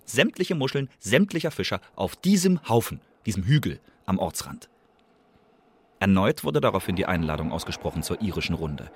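A low-pitched male secret agent, played by an actor in a radio drama, reporting in the first person. He is guarded and exact, choosing each formal phrase deliberately. A layer of noise sits behind the voice. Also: lightly acted.

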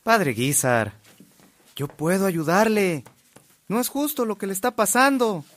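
An actor playing a sincere, indignant reporter in a radio drama, earnest and respectful. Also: male voice, sits high, animated, a little slow, a bit bright. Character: sincere, indignant male newspaper reporter offering help.

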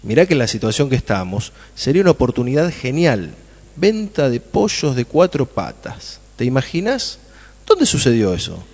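Excitable Argentine farmer in reverberant hall. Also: male voice, mid-range voice, normal speed, slightly rough. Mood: excited, scheming. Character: Germán, enthusiastic dreamy male farmer from a farming family. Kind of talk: radio play acting.

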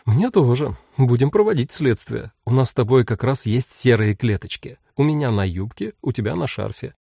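A wry young woman from a storybook , read by a man, speaks with playful irony. The pitch swings freely over a slightly creaky, mellow, dry tone. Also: animated, normal speed, dry recording. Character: wry young woman, the mother's ironic elder daughter.

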